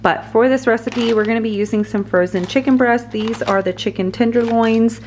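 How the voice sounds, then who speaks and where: A woman's voice: on the high side, moderate pace, darkish tone, a little hiss or hum. Chatty young mom in her kitchen. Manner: chatty, upbeat, laughs often. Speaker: vlogger, mom of four. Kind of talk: casual cooking vlog. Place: home kitchen, indoors.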